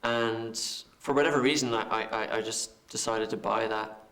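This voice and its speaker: An Irish film director answering interview questions, halting and repeating words as he thinks. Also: fairly low pitch, fairly even intonation, fairly bright tone. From Ireland.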